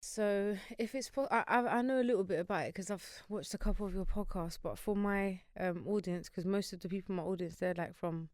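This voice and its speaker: A woman's voice: medium pitch, a little fast, hardly any pauses, a little mellow, dry recording, studio quality. A young woman hosting a podcast, chatty and encouraging, using slang as she interviews her guest. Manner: chatty and encouraging, uses slang like "wicked" and "sick". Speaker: podcast host. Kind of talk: casual interview chat.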